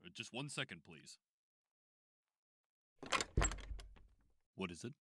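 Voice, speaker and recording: An actor playing a probing psychiatrist who runs an institute, speaking in a measured, formal way in an audio drama. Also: male voice, low-pitched, big pitch swings, sharp tone, slight background noise, exaggerated voice. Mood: brisk. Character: smooth, probing male psychiatrist running an institute.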